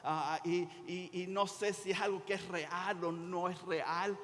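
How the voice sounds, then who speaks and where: An older Venezuelan man in his sixties speaks as a pastor in a reverberant church hall. His voice sits a little high for a man. He talks informally, pausing and repeating words as he goes. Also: fairly even intonation, fairly bright tone. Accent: Venezuelan Spanish. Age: 60s. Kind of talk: sermon with anecdotes and prayer, conversational. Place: church hall during a service.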